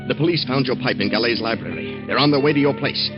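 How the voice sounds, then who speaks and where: Actor as brisk scheming man, rapid speech, 1940s radio acting, muffled old recording, prominent music behind. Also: mid-range voice, natural voice. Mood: urgent. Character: brisk, smooth-talking male friend, calmly scheming.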